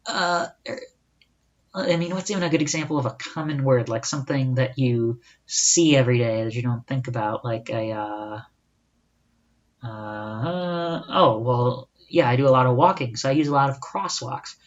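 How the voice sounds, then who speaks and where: A man's voice: medium pitch, normal speed. A young man hosting a solo podcast, talking casually with rhetorical asides and frequent self-corrections. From United States. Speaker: podcast host. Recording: dull lo-fi recording.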